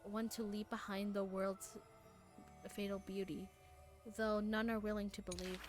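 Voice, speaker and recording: A woman whose first language is Spanish, streaming a game, reading its text aloud and chatting in a halting way, full of restarts. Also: mid-range voice, faint music behind. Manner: halting, many restarts and fillers, animated asides.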